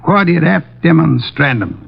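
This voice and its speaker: A muffled old radio recording of a grumpy older male detective. His voice is husky and creaky, and he speaks in pompous, formal periods with swooping pitch. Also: animated, moderate pace, full character voice. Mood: lecturing, self-satisfied. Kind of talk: 1940s-50s radio detective drama acting.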